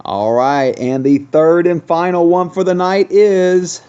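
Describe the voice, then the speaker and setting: A man's voice: high-pitched, steady tone, slow pace, mellow, clear, resonant tone. A man hosting a casual game review, talking conversationally and thinking aloud as he goes. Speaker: gaming channel host. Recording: dull, lo-fi sound.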